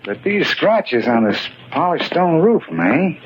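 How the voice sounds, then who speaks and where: Animated police commissioner, old radio. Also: male voice, fairly high pitch, slow. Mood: curious. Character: earnest, puzzled male police commissioner, businesslike and curious. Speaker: radio actor. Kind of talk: 1940s radio mystery acting. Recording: muffled, noisy 1940s radio recording.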